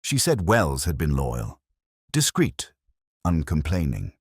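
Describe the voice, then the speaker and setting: Very deep-voiced man narrating a detective story. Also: huge pitch swings, slow, husky, dry recording, studio quality. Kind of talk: scripted first-person detective narration.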